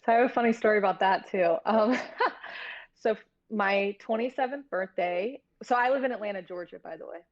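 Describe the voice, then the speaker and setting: A woman's voice: mid-range voice, moderate pace, lo-fi sound. A woman in her thirties talking candidly and informally as a film producer being interviewed, rambling a little as she tells stories. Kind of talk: casual interview storytelling.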